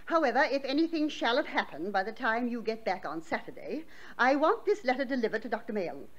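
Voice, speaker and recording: Radio drama matriarch: an old lady, businesslike, expressive, commanding, on a muffled recording. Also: a little high, a little fast. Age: older. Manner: businesslike, firm. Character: elderly, brisk, wealthy aunt, firm with servants.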